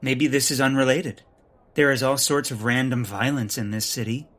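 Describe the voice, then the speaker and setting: An actor playing an excitable, indignant male scientist turned amateur sleuth, flustered and literal-minded, in a comic audio drama. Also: mid-range voice, moderate pace, natural voice. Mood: anxious, deadpan.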